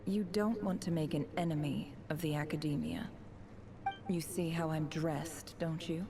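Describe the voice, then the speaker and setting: A woman playing a smooth, self-assured, scheming ambassador in a game cutscene, speaking in a measured, formal, condescending way. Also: deep voice, fairly even intonation, background noise, close to an everyday voice. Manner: measured, formal, persuasive, haughty. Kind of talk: scripted video game cutscene acting. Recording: loud music behind the voice. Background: loud game soundtrack music.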